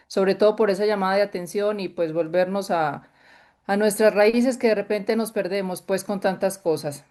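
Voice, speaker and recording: A woman's voice: medium pitch, darkish tone. A woman taking part in a lecture, asking a question in a courteous, roundabout, spontaneous way.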